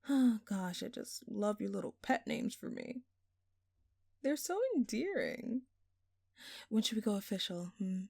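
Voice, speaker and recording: Slow, smug, flirtatious lady thief, acted by a woman. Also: mid-range voice, animated, vocal fry, darkish tone, dry recording, studio quality. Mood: smug, teasing. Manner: teasing, drawling, sultry, mock-innocent. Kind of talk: audio roleplay acting addressed to the listener.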